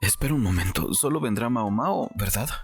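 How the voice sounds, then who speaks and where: A man's voice: medium pitch, expressive, moderate pace, breathy edge, faint music behind. A man playing a suspicious, embarrassed young nobleman in a dramatized audiobook, questioning and protesting. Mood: uneasy, wary.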